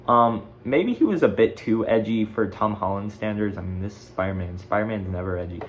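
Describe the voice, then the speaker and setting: A teenage boy with a fairly low voice talks casually as the host of his own video. He rambles and corrects himself as he goes, like someone chatting off the cuff to viewers. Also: a little fast, slight background noise. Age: teens, fifteen. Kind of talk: casual chat ranking video game movies.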